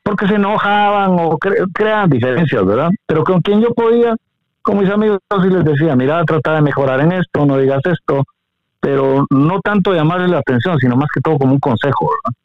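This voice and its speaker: A middle-aged Guatemalan man, a veteran broadcaster, reminiscing in an interview in a measured, courteous way. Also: a little high, lively intonation. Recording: dry, narrow phone-like line.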